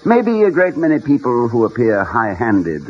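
An actor playing a genial, tactful college president who speaks politely and carefully in a vintage radio comedy. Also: male voice, medium pitch, wildly swooping pitch. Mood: tactful, earnest. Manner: polite, measured, hesitant, wordy. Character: genial, courtly college president, tactful and gently whimsical husband. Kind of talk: 1940s-50s radio comedy acting. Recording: muffled, hissy vintage radio recording.

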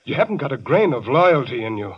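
An actor playing a desperate, lovesick husband in a 1940s radio melodrama, husky and overwrought, on an old radio recording. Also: male voice, mid-range voice, big pitch swings, a little fast, muffled recording. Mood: bitter. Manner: pleading, overwrought.

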